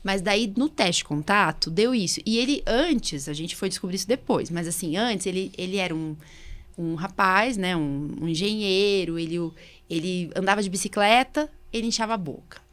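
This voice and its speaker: Brazilian woman, medical podcast host, medium pitch, chatty storyteller in casual conversation. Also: moderate pace, a bit bright. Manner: chatty, anecdotal, often seeking agreement with tags. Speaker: podcast host, allergist doctor.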